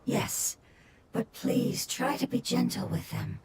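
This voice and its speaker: A woman voicing a game character who explains things calmly and sorrowfully. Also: fairly high pitch, takes it slowly, slight background noise.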